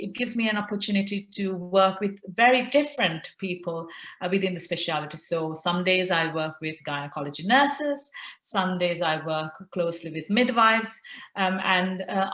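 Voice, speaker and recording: A middle-aged woman from Sri Lanka, a consultant doctor, answering interview questions earnestly with many pauses and fillers. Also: fairly low pitch, fairly bright tone, echoey room. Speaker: consultant obstetrician and gynaecologist.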